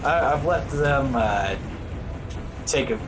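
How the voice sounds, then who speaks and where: A man's voice: mid-range voice, animated, slow pace, background noise. A man giving casual, wry, self-deprecating live commentary as he plays through a video game as a speedrunner. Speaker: speedrunner commentating on own play.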